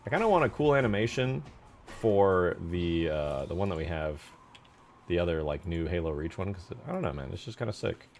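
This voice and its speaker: A man's voice: deep voice, animated, a little fast, darkish tone, faint music behind. A man giving casual, unscripted commentary as he works on a game mod, thinking aloud in informal, slangy phrasing. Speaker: game modder hosting a development stream.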